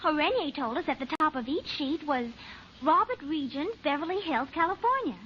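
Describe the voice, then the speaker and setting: An actress playing an inquisitive teenage daughter in an old-time radio serial. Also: female voice, high voice, lively intonation, normal speed. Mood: curious. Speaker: radio drama actor. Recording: muffled, faintly noisy old radio recording.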